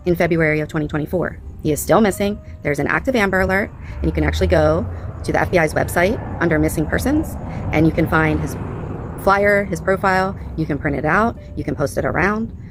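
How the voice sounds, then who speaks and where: A woman's voice: on the low side, background noise. A woman hosting a reaction channel, speaking casually and with strong opinions, leaning on repetition and rhetorical questions. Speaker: commentary channel host reacting to clips.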